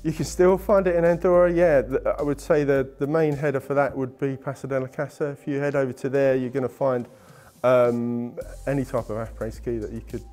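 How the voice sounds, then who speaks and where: Man in his 50s, warm mellow tone, upbeat sales pitch, halting restarts, lapel mic in a studio. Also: steady tone, faint music behind. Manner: enthusiastic salesy, hesitant restarts. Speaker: travel company guest. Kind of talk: interview answers. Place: indoor studio set with wooden walls.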